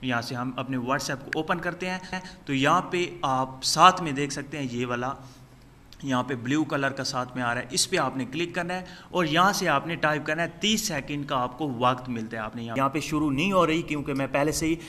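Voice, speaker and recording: Bright, forceful man presenting a tutorial. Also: on the high side, fairly quick. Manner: addresses viewers directly, instructional, step by step. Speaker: tutorial channel host. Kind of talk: scripted-sounding phone app tutorial narration to viewers.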